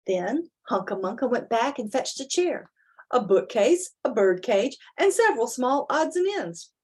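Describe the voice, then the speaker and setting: A woman with a slightly husky voice, reading a children's story expressively and chatting informally about it. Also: medium pitch, darkish tone. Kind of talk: dramatic storybook reading with casual explanatory asides.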